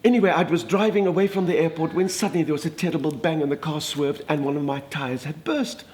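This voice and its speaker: A grand older lady with a thick Afrikaans accent, as a man puts her on, gushing through a story with dramatic exclamations. The voice sits a little high, full of theatrical flourish. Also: fast talker, darkish tone, lightly acted. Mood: gossipy. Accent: Afrikaans South African. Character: chatty older Afrikaner woman, minister's wife, theatrical. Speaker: comedic monologist. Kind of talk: comic storytelling monologue.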